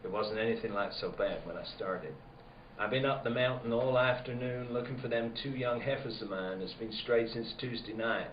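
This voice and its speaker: An actor playing a folksy, slyly probing farmer in a spooky radio drama. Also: male voice, medium pitch, moderate pace, natural voice. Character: evasive, insinuating rustic farmer neighbour. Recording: muffled, echoey room with background noise.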